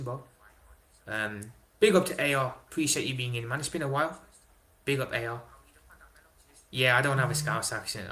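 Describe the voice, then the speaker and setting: A young man hosting a casual live stream, reading chat aloud and answering in an excitable way, swearing and dropping slang. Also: medium pitch. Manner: excitable, swears, London slang like innit and big up. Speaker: livestream host reading chat.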